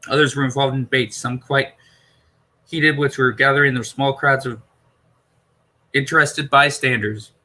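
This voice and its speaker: A man's voice: mid-range voice, fairly even intonation, normal speed, pauses often, pushed and bright. A man reading a science fiction novel aloud as an amateur narrator, stumbling over words and repeating phrases. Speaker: amateur audiobook reader.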